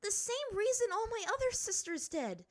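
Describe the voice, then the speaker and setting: A woman voicing an emotional, defensive teenage runaway princess in a high, quavering voice, in a storybook reading. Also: very animated, normal speed. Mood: defiant, upset.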